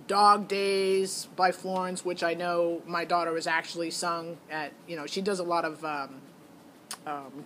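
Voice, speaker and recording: A woman's voice: lowish voice, a bit bright. A middle-aged woman telling a personal story in a casual, candid, opinionated way. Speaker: parent recounting a child's audition. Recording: noticeable background noise.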